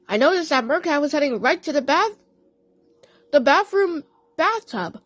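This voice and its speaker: A woman narrates a story in a high, animated voice. Her delivery is halting and dramatic, like amateur audio play storytelling, and the recording is dry and close. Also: no room sound. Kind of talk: storytelling in character, first person.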